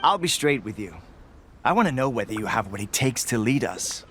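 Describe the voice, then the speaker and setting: A man's voice: mid-range voice, very animated, a little fast, fairly bright tone. A man playing a sober veteran acting captain in a video game, formal, blunt and military in manner. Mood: skeptical. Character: sober, straight-talking male veteran soldier, acting captain. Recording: faint ambient noise behind the voice.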